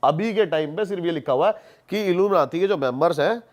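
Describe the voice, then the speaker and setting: A man's voice: high voice, animated, quick pace. A man in casual podcast conversation, telling stories and explaining things emphatically, repeating words for stress. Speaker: podcast guest.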